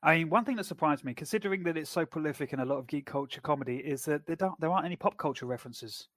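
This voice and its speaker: A man's voice: on the high side, a bit bright, dry recording, clean studio sound. Man explaining a show on a podcast. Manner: measured, explanatory, summarizing plots. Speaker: podcast co-host. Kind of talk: casual chat.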